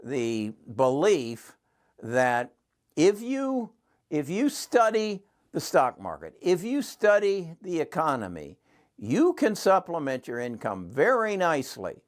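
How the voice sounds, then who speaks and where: A man in his seventies hosting his own channel, talking earnestly and rambling with frequent restarts. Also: medium pitch. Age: 70s. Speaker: channel host. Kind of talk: casual talk to camera.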